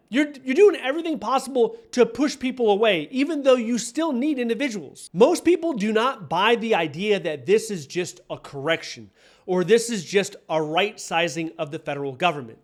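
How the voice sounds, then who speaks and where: A man's voice: sits high, lively intonation, moderate pace. An American man in his forties giving opinion commentary, speaking emphatically and repeating key phrases to drive points home. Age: 40s. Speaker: online career coach and commentator. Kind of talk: direct-to-camera opinion commentary.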